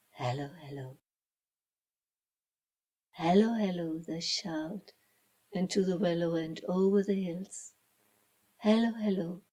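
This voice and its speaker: A woman reading a children's story as its author, in a dramatic storybook style with hushed, breathy phrases and deliberate pauses. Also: lowish voice. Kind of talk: slow dramatic storybook narration.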